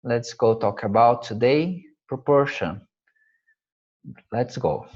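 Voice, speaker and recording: Slow, halting Brazilian math teacher. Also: male voice, mid-range voice, muffled recording. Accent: Brazilian Portuguese. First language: Portuguese. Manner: halting, searching for words, frequent fillers. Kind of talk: slide-based math lesson.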